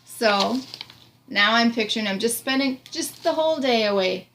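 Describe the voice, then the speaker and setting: A woman's voice: fairly high pitch, expressive, moderate pace, fairly bright tone. A woman in her thirties reading a picture book aloud to a child, encouraging and chatting as she explains and asks questions. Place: small room indoors. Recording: noisy home recording with room ambience.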